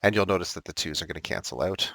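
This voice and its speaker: A man teaching a recorded math lesson, thinking aloud and correcting himself as he works problems, his voice slightly raspy. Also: deep voice, quick pace, bright, forceful tone, no room sound.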